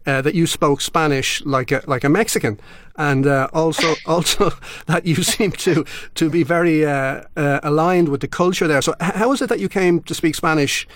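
A man's voice: a little high, moderate pace, fairly bright tone. A man hosting an interview, asking questions politely and often repeating words as he starts a question. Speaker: podcast host.

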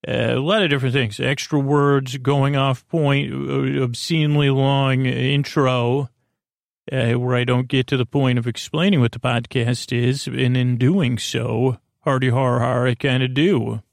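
A man hosting a sleep podcast in a creaky voice, lulling and soothing, meandering off into tangents. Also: mid-range voice, fairly even intonation, measured pace, no room sound, clean studio sound. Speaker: podcast host. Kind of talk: rambling bedtime sleep-podcast monologue. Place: small closet.

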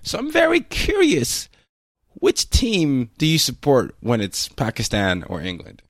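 A man's voice: medium pitch, huge pitch swings, unhurried, dry recording, studio quality. A man hosting an interview podcast, chatty and hesitant, with fillers and restarts. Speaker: podcast host. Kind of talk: casual interview chat.

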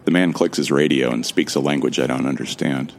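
A man's voice: a bass voice, fairly even intonation, fairly quick, noisy background. An actor playing a bewildered amnesiac man who wryly narrates his ordeal in the first person for a sci-fi audio drama. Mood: wry, musing. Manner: wry, musing.

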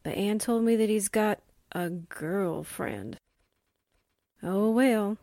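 A woman's voice: medium pitch, slow, dark tone, no echo, clean studio sound. A woman playing a wistful, lovestruck young newspaperwoman in the style of 1940s radio drama.

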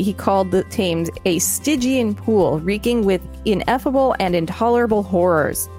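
Woman, podcast co-host, mid-pitched, deadpan, article narration and casual banter, music underneath. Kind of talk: reading an encyclopedia article aloud with casual banter.

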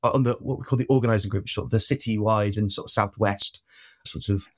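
A man's voice: fairly low pitch, fairly even intonation, quick pace. A man in his twenties from Wales with a slight Welsh accent, chatting as an interview guest in a rambling way, full of fillers and restarts. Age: 20s. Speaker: guest activist. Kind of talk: casual interview chat.

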